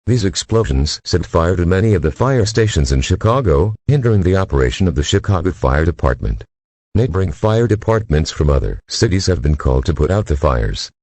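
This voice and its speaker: A man's voice: a bass voice, lively intonation, fairly quick, a little mellow, slightly rough, no room sound. A synthetic-sounding man reading a scripted emergency alert in a formal manner.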